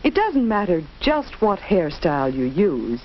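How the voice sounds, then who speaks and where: A woman's voice: on the low side, very animated, unhurried. A woman giving scripted, instructive narration in a 1940s educational film style, carefully enunciated. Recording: dull, noisy 1940s film soundtrack.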